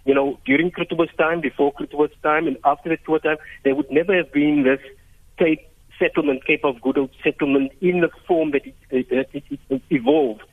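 A South African man whose first language is Afrikaans speaks as a radio interview guest. His voice sits a little high, and he feels his way through sentences, repeating words for emphasis. He comes through a muffled, narrow phone line. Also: animated, moderate pace, gravelly. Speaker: interview guest, heritage site chief executive.

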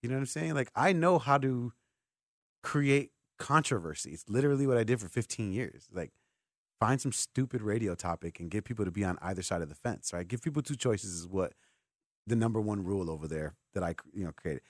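A man's voice: lowish voice, brisk, no echo. A man hosting a sports radio show in a casual, conversational, loosely rambling way, close on a studio microphone. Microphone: close broadcast microphone.

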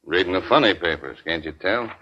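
An actor playing a mocking, needling police detective in a 1940s radio crime drama, sarcastic and taunting. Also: male voice, deep voice, expressive, a little fast. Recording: dull 1940s radio recording.

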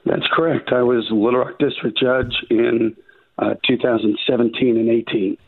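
A man with a raspy voice from a recent illness, calling in as a judicial candidate and talking in a halting way with many restarts. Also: medium pitch, steady tone. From Arkansas. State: raspy voice after covid. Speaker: judicial candidate, phone guest. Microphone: phone. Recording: tinny phone line.

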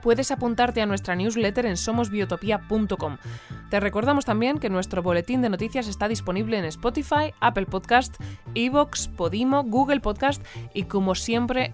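A woman's voice: on the high side, lightly acted. Woman playing a Spanish news presenter over music. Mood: composed, formal. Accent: Spain. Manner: polite, hesitant when questioning. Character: earnest, bewildered female news bulletin presenter. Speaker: news bulletin host. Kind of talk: mock newscast in audio fiction.

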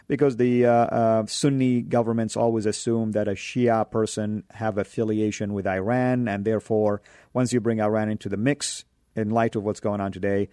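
Mellow-voiced man hosting a radio show. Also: on the low side, no echo, studio quality. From Saudi Arabia. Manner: hesitant, many filler pauses.

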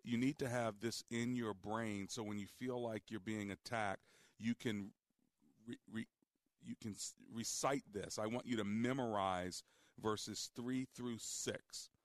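Calm, low-voiced male radio host. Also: dry recording. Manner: calm, deliberate, guiding. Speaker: radio talk show host. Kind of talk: call-in advice radio. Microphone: studio microphone.

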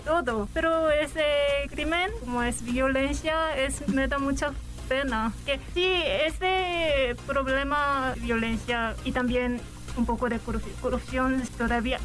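A young woman reporter from South Korea with a Korean accent, speaking Spanish as a second language, halting and searching for words with shy laughs. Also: high voice, a bit bright. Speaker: guest reporter. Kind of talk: radio interview.

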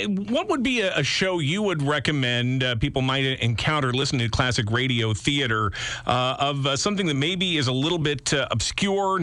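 A man's voice: mid-range voice, pushed and bright, no room sound. A man hosting a conversational radio interview in a close, studio-like setting.

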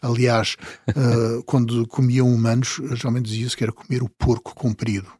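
A man in his fifties from the Azores with a European Portuguese accent, telling travel stories as an interview guest, chuckling and letting his sentences trail off softly. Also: mid-range voice, little pitch movement, normal speed, breathy edge, no room sound, studio quality. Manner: storytelling, chuckling, trailing off quietly. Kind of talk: relaxed interview conversation.

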